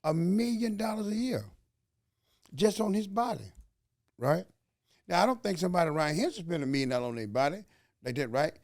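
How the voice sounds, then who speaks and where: A man's voice: high-pitched, lively intonation, a little fast, pauses often, no room sound. Southern guy from alabama hosting a podcast, folksy and preachy, lots of "you follow me", dry sound. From Alabama, USA. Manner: folksy, preachy, full of rhetorical questions and slang. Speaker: podcast host. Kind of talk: motivational podcast talk.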